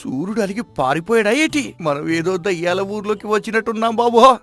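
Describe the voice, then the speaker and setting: Man playing a high-pitched, panicky cowardly servant. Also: expressive, fairly quick, fairly bright tone. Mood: panicked. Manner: whiny, frantic, pleading. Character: cowardly, superstitious male servant of a merchant, panicking in darkness. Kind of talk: animated moral story.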